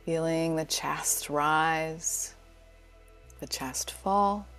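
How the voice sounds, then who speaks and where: A woman teaching yoga nidra, leading a guided relaxation in a soothing, unhurried, gently inviting way. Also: sits low, soft background music. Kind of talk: guided meditation.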